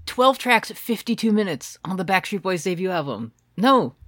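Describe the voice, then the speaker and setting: A woman co-hosting a music podcast, chatting in an animated, sarcastic way. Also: medium pitch, moderate pace, no room sound, clean studio sound. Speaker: podcast co-host. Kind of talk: casual music review chat.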